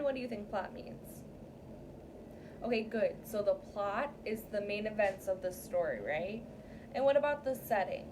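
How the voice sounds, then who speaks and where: A woman teacher leading an interactive read-aloud story time for young pupils, often checking in with short questions. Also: mid-range voice. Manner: addresses young pupils, frequent checking questions like "okay?" and "right?". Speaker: teacher reading aloud to a class. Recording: distant mic, clear background room noise.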